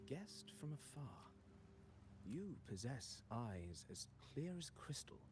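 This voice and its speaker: An actor playing an eloquent, composed wandering poet in a fantasy game, with ornate, measured, cryptic speech. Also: male voice, medium pitch, big pitch swings, darkish tone, a little hiss or hum. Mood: gentle, solicitous. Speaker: traveling poet character. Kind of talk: theatrical fantasy game voice acting. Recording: game music behind voice.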